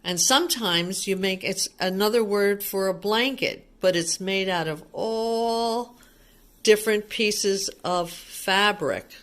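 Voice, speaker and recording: A woman's voice: medium pitch, slow pace. A woman reading a picture book aloud to young children like a teacher, explaining things simply and asking them questions.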